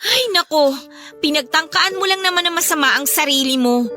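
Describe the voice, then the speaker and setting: Bright, high-voiced Filipina best friend. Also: female voice, moderate pace, soft background music. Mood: earnest scolding. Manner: earnest, scolding, emphatic. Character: concerned, preachy young female best friend. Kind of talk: radio drama acting.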